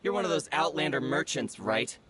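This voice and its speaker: An actor playing a contemptuous thief in a video game, mocking and taunting. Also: male voice, on the high side, expressive, a little fast, background noise, lightly acted. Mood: mocking, hostile. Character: sneering, contemptuous male thief.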